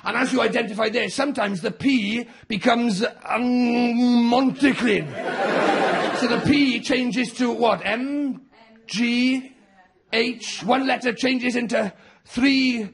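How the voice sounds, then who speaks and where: A man's voice: extremely high pitch, slow pace, gravelly, slightly rough. A Welsh man doing stand-up comedy as host, chatting and teasing the audience with mock-serious stories, on a theatre stage. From Wales. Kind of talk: stand-up comedy with crowd work. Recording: live theatre show with crowd noise.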